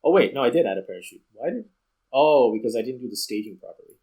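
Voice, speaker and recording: A man's voice: medium pitch, expressive, quick pace, dark tone, breathy edge, rough recording. A man hosting a gameplay video with casual commentary, thinking aloud as he explains. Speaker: video game streamer and host.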